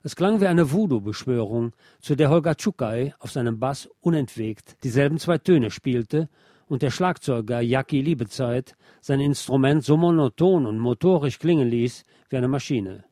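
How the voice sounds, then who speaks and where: A man reading a scripted music feature as a radio presenter, in a measured, articulate, literary manner. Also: medium pitch, lively intonation, dark tone, no room sound, clean studio sound. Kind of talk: scripted narration.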